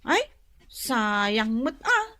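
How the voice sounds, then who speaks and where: A woman's voice: a little high, big pitch swings, very slow, bright, forceful tone, exaggerated voice. A woman playing a young, plaintive, chatty student daughter with an Ilocano Filipino accent in a comedy radio drama.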